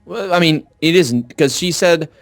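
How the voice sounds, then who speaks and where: A man's voice: fairly high pitch, unhurried, no echo, soft background music. A man giving casual Let's Play commentary, hesitant and questioning as he thinks aloud. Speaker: gamer commentating a co-op playthrough.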